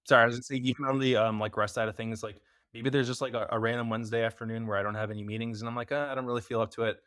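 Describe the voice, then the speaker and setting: Fast-talking young American man, dry mic. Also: mid-range voice, little pitch movement, a bit bright, dry recording. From United States. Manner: fast, loose talk with many fillers. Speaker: podcast guest, preventative health expert. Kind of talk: casual interview chat.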